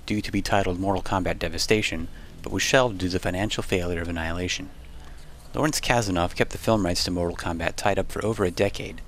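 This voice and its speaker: A man's voice: sits low, a little hiss or hum. A man reading scripted documentary narration over background music. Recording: music under the voice.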